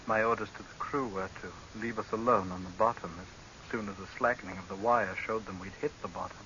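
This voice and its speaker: A grim, fatalistic doctor in an old radio horror play. His voice is low and tense, with dramatic, clipped delivery. The recording is band-limited vintage broadcast sound. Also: fairly even intonation, brisk, bright, forceful tone. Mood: grim confession. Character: resigned, bitter male doctor, would-be assassin. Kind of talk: 1930s radio acting. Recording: muffled, hissy 1930s radio recording.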